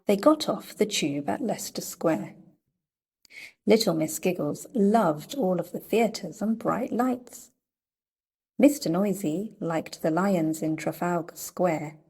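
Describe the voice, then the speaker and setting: A woman reading a children's story aloud in a playful, deliberate storytelling manner. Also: lowish voice, darkish tone, slightly rough. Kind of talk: read-aloud storybook narration.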